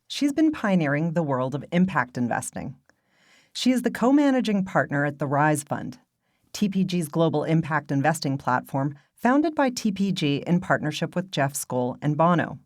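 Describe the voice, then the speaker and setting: A woman hosting a podcast, giving scripted narration in a polished, measured delivery. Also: low voice, very animated, dry recording, studio quality. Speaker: podcast host.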